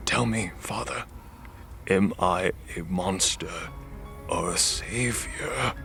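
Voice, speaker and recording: A man playing a troubled, pleading man in an audio horror drama, speaking deliberately and dramatically. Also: low voice, creaky, pushed and bright, soft background music, full character voice. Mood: remorseful, weary. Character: troubled man, pleading, elderly and dying in later scene.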